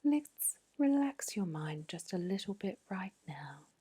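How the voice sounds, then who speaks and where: A woman whispering a guided sleep meditation in a soft, breathy voice, slow and soothing. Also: mid-range voice, sing-song.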